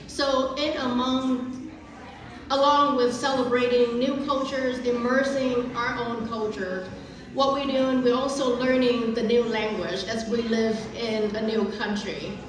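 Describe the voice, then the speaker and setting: A woman's voice: on the high side, fairly even intonation, measured pace, crowd noise. A middle-aged woman from Vietnam with a Vietnamese accent, giving an earnest, careful prepared speech at a community event with frequent restarts. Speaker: guest speaker. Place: large echoey event hall. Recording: echoey hall, voice from far off.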